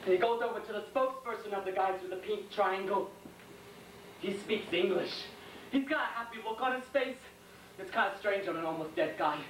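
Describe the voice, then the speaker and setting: A man playing a shaken young American World War II soldier in a dramatic stage monologue, speaking in plain, halting, intense colloquial speech. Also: high voice, a bit bright. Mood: confused, uneasy. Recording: echoey room, distant mic, faint noise.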